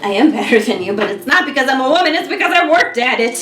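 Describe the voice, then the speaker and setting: An American woman in her thirties co-hosting a podcast, chatting casually with clear, careful diction and frequent laughter. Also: sits high, expressive, quick pace. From United States. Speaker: podcast co-host. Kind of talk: casual chat. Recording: echoey room with music behind.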